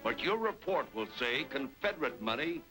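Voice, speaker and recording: A villainous colonel in a vintage radio western. He is commanding and smoothly scheming, his pitch rising and falling dramatically over prominent music. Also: sing-song, moderate pace, sharp tone. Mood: gloating. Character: ruthless power-hungry colonel, male villain grabbing control. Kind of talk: old-time radio western acting.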